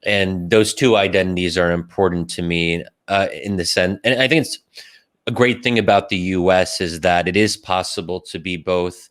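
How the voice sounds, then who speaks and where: A man with a low, smooth and resonant voice answers a podcast interviewer. He speaks carefully and qualifies his points, pausing on ums and small restarts as he builds his argument. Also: a bit bright. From United States. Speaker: podcast guest.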